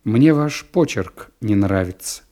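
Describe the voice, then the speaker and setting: A man's voice: fairly low pitch, expressive, slow pace, dark tone. Cold, stern aristocratic father, read by a man. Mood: cold contempt. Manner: formal, clipped, cold.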